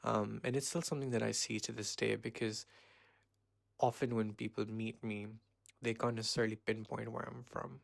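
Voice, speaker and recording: A man in his twenties from Pakistan, hosting a casual solo podcast and rambling with many pauses and self-corrections. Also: on the low side, little pitch movement, vocal fry, no echo. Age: 20s. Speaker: podcast host.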